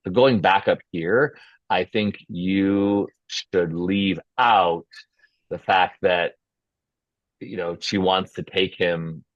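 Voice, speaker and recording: A man with a very low voice leads a feedback discussion in a casual, conversational way. The sound is dry and a little dull, like audio from a group call. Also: steady tone, slow, no room sound. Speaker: host of script feedback session.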